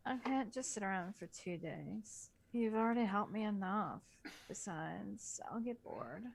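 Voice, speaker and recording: A woman takes her part in a group story reading, then cuts in with blunt, mocking remarks. Her pitch is average for a woman, and there is faint noise behind her. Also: a little slow, vocal fry. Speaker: book club co-reader. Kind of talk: dramatic reading with joking commentary.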